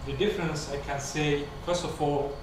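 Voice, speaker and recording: A man on the panel answers slowly, stopping often on fillers as he searches for words. His voice sits a little high for a man. He is heard from a distance in a heavily echoing hall, with a clear background noise. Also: steady tone. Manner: hesitant, many fillers. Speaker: co-researcher on the panel. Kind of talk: lecture Q&A. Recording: echoey hall from far off, noisy.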